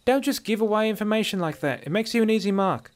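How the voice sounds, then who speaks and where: A man voicing a sly female dark elf bandit in a fantasy story, brash, sardonic and bossy. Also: high-pitched, lively intonation, fast talker, pitched above the performer's own voice. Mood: bossy, taunting.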